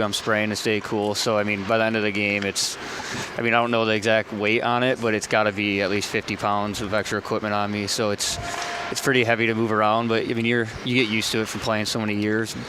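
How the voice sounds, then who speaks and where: Man, thirties, low monotone, hockey player guest, easygoing, many fillers, outdoor street noise. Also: fast, few pauses. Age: 30s. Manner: laid-back, many fillers and restarts. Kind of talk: casual interview chat. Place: outdoors at a live tailgate broadcast.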